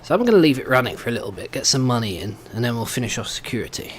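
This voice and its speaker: A man's voice: mid-range voice, very animated, rapid-fire, slight background noise. A man giving casual let's play commentary, thinking aloud in a hesitant way as he goes. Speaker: gaming video host.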